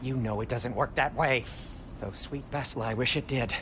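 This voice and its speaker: A man's voice: mid-range voice, normal speed, fairly bright tone, a little hiss or hum, close to an everyday voice. A man voicing an anxious, cautious dwarf companion in a fantasy video game, with a fussy, dry, worried way of talking. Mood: fussy, resigned. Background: game music and effects.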